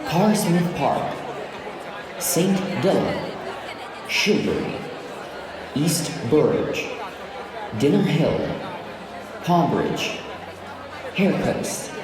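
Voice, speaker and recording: In a huge reverberant hall with crowd noise, a man delivers formal station announcements. He speaks slowly and enunciates each word with care. The delivery is scripted, like a public address. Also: on the high side, big pitch swings. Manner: formal, deliberate, carefully enunciated. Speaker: English teacher voicing train station announcements. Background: busy station crowd ambience.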